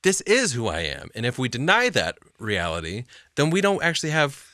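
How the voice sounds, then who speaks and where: A man co-hosting a casual faith conversation, animated, laughing often and saying yeah a lot. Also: medium pitch, fairly quick, no room sound, clean studio sound. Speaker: co-host of church midweek chat.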